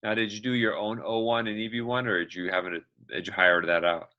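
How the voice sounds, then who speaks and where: A man's voice: fairly low pitch, steady tone, a little fast, muffled recording. A man hosting a casual interview, easygoing and chatty as he asks questions. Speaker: host, interviewer.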